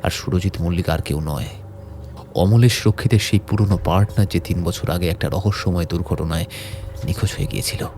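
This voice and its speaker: Loyal best friend male actor: young, worried, earnest, lowish register, pleading delivery for an audio thriller. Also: a little fast, darkish tone, a little hiss or hum, natural voice. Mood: earnest, urgent. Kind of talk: audio story acting.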